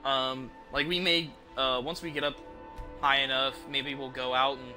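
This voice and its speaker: A man with a medium-pitched voice streams a video game. His commentary is casual and rambling, circling back over his points, with game music clearly audible behind him. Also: level tone, normal speed. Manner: rambling, informal, repeats himself. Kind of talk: casual live gameplay commentary. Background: game music and sounds.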